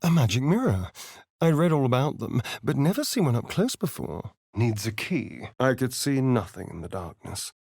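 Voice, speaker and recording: A man's voice: mid-range voice, big pitch swings, moderate pace, vocal fry, darkish tone, breathy edge, no room sound, clean studio sound, full character voice. A man voicing a suave, sardonic vampire rogue in a fantasy video game, with an arch, theatrical, dramatic delivery. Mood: measured, brooding.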